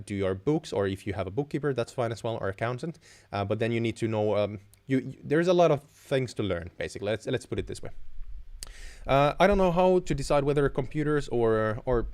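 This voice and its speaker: A man's voice: on the low side, darkish tone, clean tone. A young man, a native Finnish speaker with a Finnish accent, hosting an informal Q&A and explaining things with frequent self-corrections. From Finland. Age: young adult. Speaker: live stream host. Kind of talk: informal Q&A advice.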